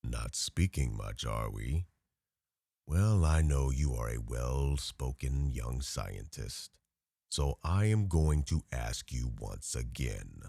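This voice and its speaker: Menacing vampire hunter, played by a man, extremely low and slow, formally courteous and mocking, ASMR roleplay. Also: expressive, gravelly, dark tone, dry recording, studio quality, heavily acted. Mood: mocking, threatening. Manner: formal, taunting, theatrical speech.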